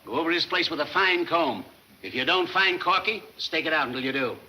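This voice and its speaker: Casting: brusque American police chief, 1940s serial, impatient, hard bright tone that gives orders. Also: male voice, fairly high pitch, expressive, brisk. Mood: brusque, demanding. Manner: brusque, commanding. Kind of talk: 1940s crime serial acting. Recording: 1940s film soundtrack with faint noise.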